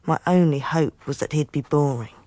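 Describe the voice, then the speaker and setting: A woman's voice: low-pitched, normal speed, dark tone, close to an everyday voice. An English actress playing a tough, sardonic female private investigator in a radio drama, with dry delivery. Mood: confiding, wry. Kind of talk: radio drama acting with first-person narration.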